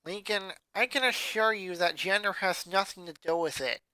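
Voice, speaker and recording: A man voicing a formal, measured four-year-old girl genius in a fanfic reading. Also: sits high, bright, forceful tone, smooth, dry recording, voice pushed down, poor audio. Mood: reassuring.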